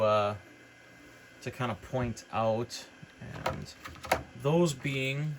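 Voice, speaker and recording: A man giving an informal, unscripted product walkthrough as a hobbyist presenter, explaining features in a hesitant way. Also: mid-range voice. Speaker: hobbyist presenter demonstrating radio gear. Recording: clearly noisy background.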